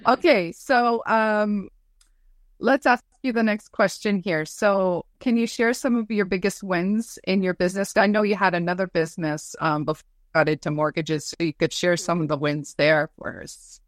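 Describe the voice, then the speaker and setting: A woman's voice: medium pitch, fairly even intonation, normal speed, no room sound, clean studio sound. A woman hosting a casual interview in an effusive, affirming, conversational way. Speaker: interviewer, host.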